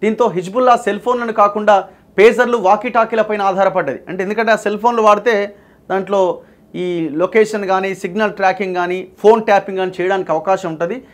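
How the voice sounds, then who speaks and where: Male news presenter, Telangana accent, high register, emphatic explanatory delivery. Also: fairly even intonation, fairly quick. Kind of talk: news reading with explanatory commentary.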